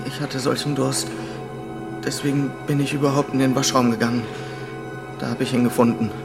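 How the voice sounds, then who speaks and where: A man's voice: mid-range voice, little pitch movement, a little fast, gravelly, music in the background, close to an everyday voice. An actor playing a frightened young male military cadet, agitated and pleading, in a German radio drama. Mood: shaken.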